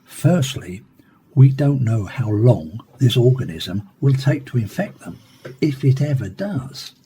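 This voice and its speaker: A man playing a renowned professor interviewed in a science fiction radio play, explaining research in a measured, scripted way. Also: mid-range voice, big pitch swings, mellow, slight background noise. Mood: frank, cautious.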